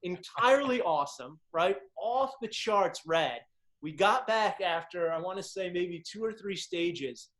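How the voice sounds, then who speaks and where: A man whose first language is Czech hosts a casual chat and speaks in a fairly high voice. He tells stories with enthusiastic, slangy energy and keeps the talk moving. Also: expressive, unhurried, fairly bright tone. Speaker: podcast host.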